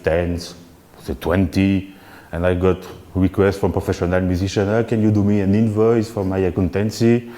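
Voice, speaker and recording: Man giving a relaxed talk. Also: sits low. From Belgium. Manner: relaxed, self-deprecating, with frequent restarts. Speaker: keynote speaker. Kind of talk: live talk at a synth meetup. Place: event venue before an audience.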